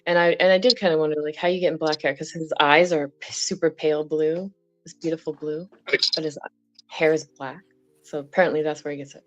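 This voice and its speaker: A woman's voice: sits low, steady tone, fairly quick. A middle-aged woman from Canada telling a meandering personal story in casual chat. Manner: chatty, meandering, trailing off. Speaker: guest. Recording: dull lo-fi sound with faint music.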